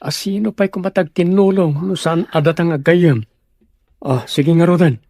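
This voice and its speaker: A man's voice: fairly high pitch, moderate pace, a little mellow, no echo, natural voice. A man with a Filipino accent playing a caring, easygoing young workmate in an audio drama. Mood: reassuring. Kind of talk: Ilocano audio drama acting.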